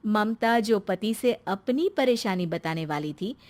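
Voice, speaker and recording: A woman's voice: mid-range voice, animated, a little slow, a little mellow. A woman reading scripted story narration.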